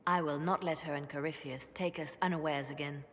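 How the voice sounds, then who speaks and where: An actress playing a shrewd, composed female spymaster in a video game, measured and quietly resolute. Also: sits low, fairly even intonation, slight background noise, lightly acted. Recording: long reverb of a stone hall.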